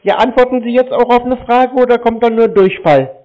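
A man's voice: very high-pitched, brisk. A man on a phone call, needling the other side with dry, mocking, obtuse questions. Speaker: prank scam-baiting call recipient. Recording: tinny narrow-band phone line.

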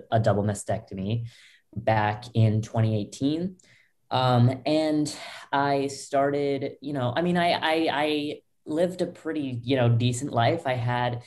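A young woman with a low voice, a podcast guest telling her own story in loose talk full of fillers and restarts, over a video call from a small bedroom. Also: darkish tone. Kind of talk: candid personal story. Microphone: laptop webcam, video call.

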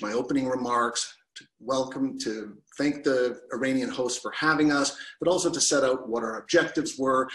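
A man's voice: mid-range voice, fairly bright tone, roomy sound, poor audio. A middle-aged man from Canada, telling a personal story over a video call from his living room, gesturing with both hands. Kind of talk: personal storytelling to an online audience. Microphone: video call webcam.

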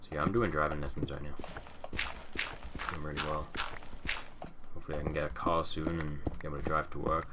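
A young man hosting a casual game let's-play, rambling and chatty through a headset, a little nasal and sniffly as he gets over a cold. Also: very deep voice. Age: young adult. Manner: rambling, chatty, mumbles asides. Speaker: gaming channel host. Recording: noisy home mic with keyboard clicks.

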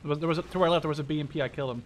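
Young man, higher-pitched voice, rapid speech, casual gaming banter, excitable, profane, engine noise behind. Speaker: gamer playing in a squad.

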